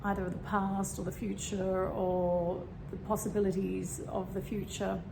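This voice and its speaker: A woman with a slightly croaky voice talks in a loose, meandering way, often pausing on fillers and clearing her throat. Her pitch sits in a middle range for a woman. A fan hums plainly in the background of her home recording. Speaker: spiritual vlogger. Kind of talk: casual spiritual talk. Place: home room with a fan running. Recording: steady fan hum behind. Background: fan noise.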